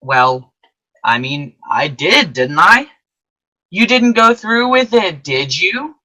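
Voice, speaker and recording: Earnest apprentice angel for radio drama, played by an actor: forceful, unhurried, very lively pitch, emphatic. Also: heavily acted. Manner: animated, emphatic, deliberate. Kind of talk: old-time radio play acting with narration to listeners.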